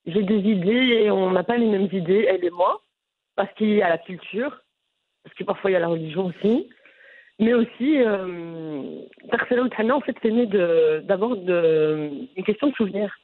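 Woman, Brussels accent, lively frank guest, restarts often, phone-quality audio. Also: mid-range voice, moderate pace. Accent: Brussels French. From Brussels, Belgium. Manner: animated, frank, many restarts. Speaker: content creator guest. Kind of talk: interview answers.

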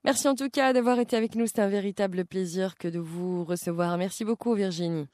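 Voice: medium pitch, fairly quick, a little mellow, no room sound. Polished radio host, dry close sound. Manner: polished, formal presenter delivery. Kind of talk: interview host and show presenter.

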